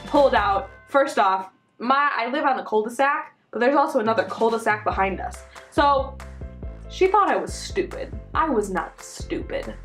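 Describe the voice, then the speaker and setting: A woman's voice: a little high, moderate pace, faint music behind. A young American woman telling personal stories in a casual, chatty, emphatic way, with lots of "like" as filler. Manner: animated, emphatic, peppered with "like". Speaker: vlogger.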